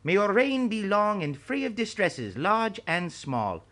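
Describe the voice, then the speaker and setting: A man's voice: high-pitched, big pitch swings, measured pace, pushed and bright, voice pushed up, exaggerated voice. A man voicing an ancient male martial arts master who speaks in ornate, courtly phrases. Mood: ceremonious.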